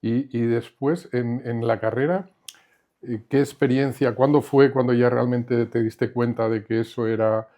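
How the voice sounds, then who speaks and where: A man's voice: medium pitch, fairly even intonation, measured pace, warm tone. A man interviewing his guest, prompting with short questions and repeating the answers back. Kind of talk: conversational interview.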